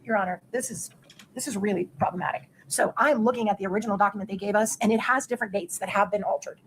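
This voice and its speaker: A woman lawyer in a courtroom raising an objection to the judge in an urgent, hurried way. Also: medium pitch, a little hiss or hum, rough recording. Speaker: defense attorney.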